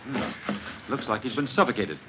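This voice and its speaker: A man's voice: medium pitch, very animated, moderate pace, muffled recording, natural voice. Curt radio-drama doctor on noisy recording, a man. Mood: grave. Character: grave, curt male doctor examining a body. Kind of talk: 1940s radio drama acting.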